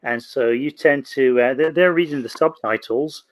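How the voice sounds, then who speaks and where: A man at mid pitch co-hosts a podcast, speaking measured and dry. He pads his sentences with frequent fillers. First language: English. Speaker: podcast co-host. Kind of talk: podcast discussion.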